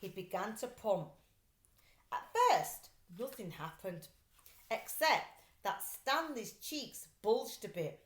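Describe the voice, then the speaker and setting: A woman reading a children's storybook aloud like a teacher, in an expressive, deliberate way. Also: medium pitch. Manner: animated, deliberate storytelling delivery. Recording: roomy, echoey sound.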